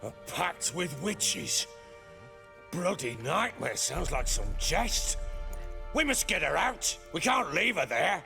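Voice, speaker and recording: An actor playing a gruff, hot-tempered middle-aged British lord in a video game, a blunt and coarse-mouthed husband and father. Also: a little high, very animated, slow pace, music in the background, heavily acted. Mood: desperate, defiant. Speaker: game character. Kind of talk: dramatic video game voice acting.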